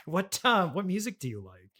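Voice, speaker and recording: Halting American man hosting a comedy interview. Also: sits high, wildly swooping pitch, pushed and bright, husky. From United States, Illinois. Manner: halting, politely baffled straight man. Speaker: podcast host and interviewer. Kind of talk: casual improvised comedy interview.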